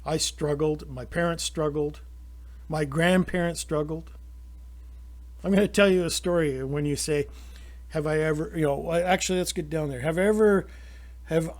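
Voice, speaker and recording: A middle-aged Canadian man pours out his opinions in a rambling, indignant monologue. His voice sits a little high for a man, and he often stops and restarts his sentences. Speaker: channel host.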